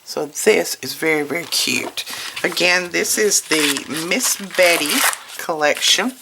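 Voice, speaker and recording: Woman, hoarse, slow-paced, casual craft video host, chatty, noisy recording with crinkling paper. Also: fairly low pitch. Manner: unhurried, chatty, commenting piece by piece. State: hoarse, recovering from illness. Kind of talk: casual product showcase, talking while handling items. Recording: clear background noise, paper crinkling and clicks. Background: crinkling paper and packaging.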